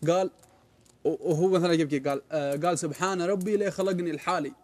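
A young man with an Omani Arabic accent, telling a story on a studio sofa and reciting verses in a slow chant. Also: a little high. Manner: animated, gestures a lot, slows to chant verses. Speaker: guest poet. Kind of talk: casual storytelling and poetry recital. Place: indoor studio set on a sofa.

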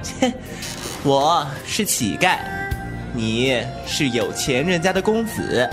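A man's voice: medium pitch, very animated, slow, music in the background. A man playing a flippant, wilful young beggar-clan heir in a Chinese wuxia audio drama, teasing and defiant. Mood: sarcastic.

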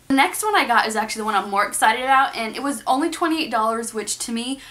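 A woman's voice: on the high side, bright, forceful tone. A young woman vlogging at home, chatting to the camera in front of her about a shopping haul. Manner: chatty, upbeat, talks to the camera. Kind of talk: casual shopping haul vlog. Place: bedroom at home, indoors. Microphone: camera in front of her face.

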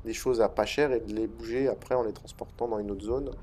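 Casting note: man, stuffy with a cold, mellow dark tone, hesitant gaming commentary, faint room hiss. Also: lowish voice, steady tone, brisk. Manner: hesitant, many "euh" fillers and restarts. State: has a head cold. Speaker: gaming video host. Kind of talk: relaxed commentary over gameplay.

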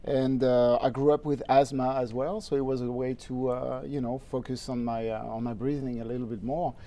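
A man with a French accent talks in an easygoing way, often laughing, as a studio guest. His voice is mellow and a little dark, and it sounds close to the lapel mic on a TV set. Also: mid-range voice, level tone, moderate pace. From France. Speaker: guest musician. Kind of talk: casual interview chat.

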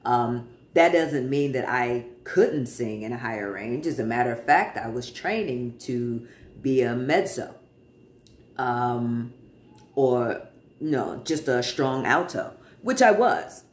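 A man in his forties talks to his camera from a living-room sofa in an easy, chatty way, pausing often. He speaks slowly at a middle pitch, and the small room lends his voice a noticeable echo. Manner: relaxed and chatty, many pauses and fillers. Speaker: vlogger. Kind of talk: casual personal update to camera. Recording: roomy, echoey home room.

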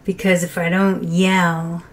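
Low-voiced soft-spoken woman. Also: little pitch movement, takes it slowly. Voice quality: low, quiet voice. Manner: soft-spoken, laughs between thoughts. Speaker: reaction video host. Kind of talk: casual chat to camera. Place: small bright home room.

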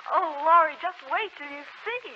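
A woman's voice: very high-pitched, moderate pace. A woman playing an emotional, dutiful young daughter in 1940s radio acting. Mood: excited. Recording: very noisy, muffled 1940s radio recording.

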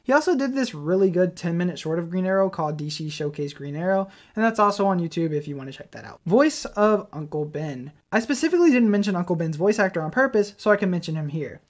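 A man's voice: high-pitched, animated, quick pace, hardly any pauses. A man narrating an informal fan video essay as its host. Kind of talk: informal fan commentary, partly scripted.

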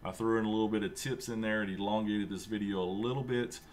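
A man in his thirties hosting a casual screen-share tutorial at home, chatty and relaxed, with lots of fillers and a fairly monotone delivery. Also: fairly low pitch, quick pace, a little hiss or hum. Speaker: sim racing channel host. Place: home room with a racing rig.

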